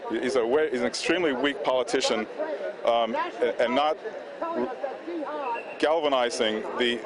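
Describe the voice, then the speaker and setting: A man with a mid-range voice speaks indignantly and emphatically, breaking off to search for words. A rally crowd clamors behind him outdoors. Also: mid-range voice. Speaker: rally protester being interviewed.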